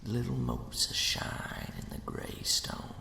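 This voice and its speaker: A man narrating a ghost story as ASMR in a hushed, slow, dramatic whisper with a breathy, gravelly edge. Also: medium pitch, wildly swooping pitch. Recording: echoey, long cavernous reverb.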